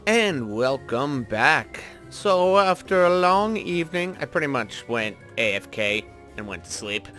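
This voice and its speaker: A man's voice: on the high side, a bit bright. A man doing casual gaming commentary, thinking aloud in a rambling way as he talks to his viewers. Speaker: gaming video host. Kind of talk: casual Minecraft let's-play commentary.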